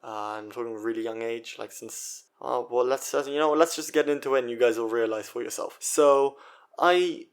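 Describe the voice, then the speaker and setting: A man's voice: medium pitch, moderate pace, clean tone. A man in his twenties from Israel, hosting a solo podcast in a chatty, self-mocking way with many fillers and restarts. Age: 20s. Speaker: podcast host.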